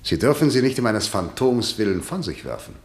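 A stern, middle-aged prosecutor in a vintage radio drama. His low voice moves widely in pitch while staying formal, clipped and condescending. The sound is roomy, like an old studio. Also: sing-song, fairly quick. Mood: formal, pressing. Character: stern, formal chief public prosecutor, an authoritarian father. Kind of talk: mid-century German radio drama acting.

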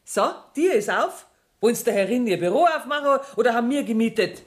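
A woman's voice: fairly high pitch, expressive, fairly quick, close to an everyday voice. An actress playing a brash, scheming woman in a radio play, speaking brusquely in Bavarian dialect. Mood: defiant. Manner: brusque, bossy. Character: brash, scheming, cash-strapped woman, bossy and defiant.

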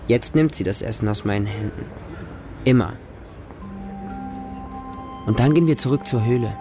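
Street kid narrator played by a man, mid-pitched, intimate and plain-spoken, short sentences, radio drama monologue with prominent music bed. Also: lightly acted. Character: homeless Moscow street child who befriends stray dogs.

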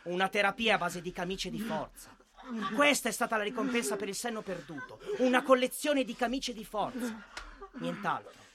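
An actor playing an anguished, bitter soldier son in an impassioned, insistent and sardonic theatrical monologue. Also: male voice, high-pitched, expressive, a little fast, a crowd in the background.

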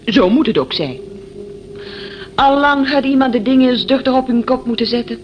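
Coy young woman, high voice, very animated, teasing, vain, radio drama, light background noise. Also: moderate pace, muffled recording. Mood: coy, nervous. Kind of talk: radio play acting.

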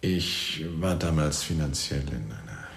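An actor playing a suave, evasive middle-aged doctor in a radio drama, speaking formally and precisely in a gravelly voice that fades into a murmur. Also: male voice, extremely low pitch, heavily acted. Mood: smooth, candid. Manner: formal, precise, pompous wording with deliberate pauses. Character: suave evasive doctor confessing under police questioning. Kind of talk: radio drama acting, monologue testimony. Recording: roomy echo, faint background noise.

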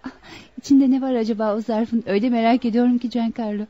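An actress playing an anxious, devoted young bride-to-be in a Turkish radio play, with theatrical, pleading delivery. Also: female voice, fairly high pitch, fairly even intonation, quick pace, no echo, some chatter behind, close to an everyday voice. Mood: curious, concerned. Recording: dull lo-fi radio drama recording.

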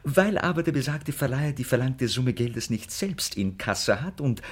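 An actor playing a wry, cheeky valet in a classic German radio comedy, with a theatrical, mock-formal delivery. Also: male voice, medium pitch, expressive, moderate pace, husky.

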